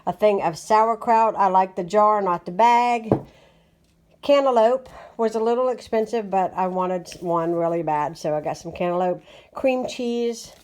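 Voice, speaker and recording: A woman's voice: mid-range voice, unhurried, a little mellow, slight background noise. A woman in her forties from West Virginia with an Appalachian accent, chatting casually to a phone camera at home in her morning froggy voice. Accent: Appalachian American. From West Virginia, USA. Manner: chatty, homey, rambling with fillers. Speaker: home vlogger. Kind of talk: casual chat to camera, grocery haul. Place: small bedroom indoors. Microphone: phone at arm's length.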